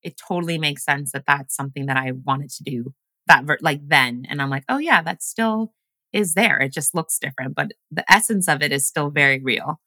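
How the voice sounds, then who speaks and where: Low-voiced woman, first language luganda, chatty rambling stories, bright tone, dry close mic. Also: normal speed, no echo. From grew up in Washington State. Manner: chatty storytelling, many fillers. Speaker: podcast guest, coach. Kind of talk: casual conversation.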